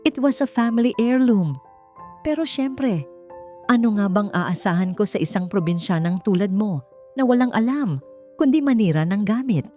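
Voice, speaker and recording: A woman's voice: mid-range voice, animated, normal speed, dark tone, soft background music, pitched above the performer's own voice, lightly acted. A woman voicing an imperious, furious mother-in-law who scolds with contempt in a dramatic audio story. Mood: scornful, angry.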